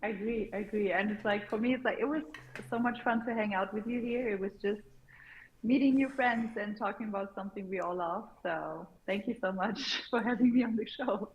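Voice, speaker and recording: A woman's voice: mid-range voice, muffled recording, slight background noise. Chatty woman guest. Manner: chatty, laughs often, quick answers. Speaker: guest, pickleball content creator. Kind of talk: casual interview chat.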